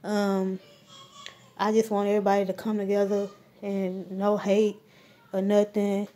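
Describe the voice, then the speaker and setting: A woman talks through a casual grocery haul while holding her phone camera at home. She speaks slowly and loosely, full of ums and restarts, with a mellow, dark tone and a middle pitch. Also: completely flat delivery. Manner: rambling, full of ums, warm shout-outs. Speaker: vlogger. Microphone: phone camera held while filming.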